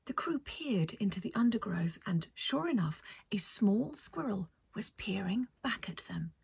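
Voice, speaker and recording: A woman reading a children's story as a storyteller, expressive, with dramatic pauses. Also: medium pitch, creaky, slight background noise. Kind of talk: scripted children's story narration.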